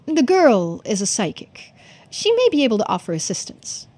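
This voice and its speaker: A woman's voice: fairly high pitch, wildly swooping pitch, moderate pace, a little mellow. A woman voicing a polite, composed young video game character who speaks in a formal, precise way. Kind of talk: video game dialogue read-aloud.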